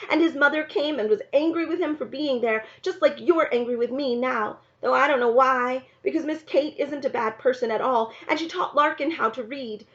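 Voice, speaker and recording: A woman's voice: high-pitched, pitched above the performer's own voice, close to an everyday voice. A woman reading the part of an earnest, defiant young country girl in a storybook, pleading and insistent. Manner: pleading, insistent, breathless run-on sentences. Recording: slightly dull, lo-fi sound.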